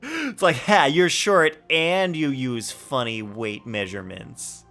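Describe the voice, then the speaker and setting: A man's voice: mid-range voice, animated, slow, sharp tone, soft background music. A young man streaming a game, chatting casually and playfully with viewers and joking as he reacts. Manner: playful, jokey, reads chat aloud.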